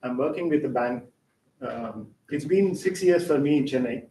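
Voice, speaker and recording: Guy introducing himself, nervous, lots of uh and um, echoey hall, far from mic, faint rumble. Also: moderate pace, dark tone. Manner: nervous, filler-heavy. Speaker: guest. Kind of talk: self-introduction at club meeting.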